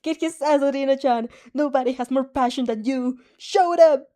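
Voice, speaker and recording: A woman voicing a loud, fiery teenage boy who cheers with passion in a fanfic reading. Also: sits high, moderate pace, voice pushed up, close to an everyday voice. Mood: shouting, cheering. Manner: shouting with passion.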